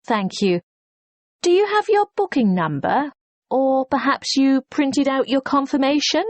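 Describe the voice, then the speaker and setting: A woman's voice: high voice, animated, measured pace, dry recording. A woman playing a courteous, efficient hotel receptionist in a scripted listening-test dialogue, formal and helpful.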